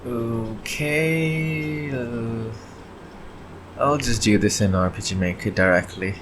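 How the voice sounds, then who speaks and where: A man chatting casually and haltingly as he thinks aloud while working on his indie game devlog. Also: medium pitch, background noise. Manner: halting, often clearing throat.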